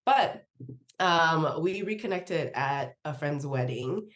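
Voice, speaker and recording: A woman from Nigeria, speaking in a low register, tells a personal story as a podcast guest. She talks in a loose, chatty way, laughs often and slips into acted-out quotes of what people said. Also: lively intonation, slow pace. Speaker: podcast guest, research professor. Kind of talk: casual interview storytelling.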